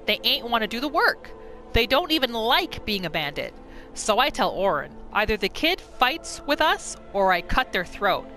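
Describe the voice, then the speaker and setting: Rough, distrustful bandit storyteller played by a woman for fantasy game journal, slangy and threatening, bright edge. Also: a little high, heavily acted. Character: rough, callous bandit writing a grudging account of betrayal. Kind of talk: in-character reading of a game journal.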